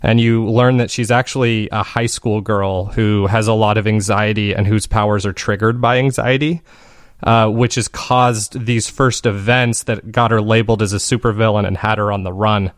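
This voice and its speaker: A man's voice: fairly low pitch, little pitch movement, moderate pace, no room sound, clean studio sound. A man speaking as an interview guest, chatting casually and enthusiastically in rambling explanations. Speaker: guest, fiction podcast maker.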